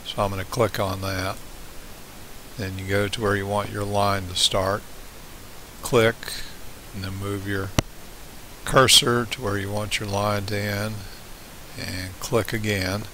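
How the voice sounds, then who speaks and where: A man giving an unscripted step-by-step software tutorial in a plain, halting way, pausing mid-phrase. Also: fairly low pitch. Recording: hissy home voice-over recording. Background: steady hiss.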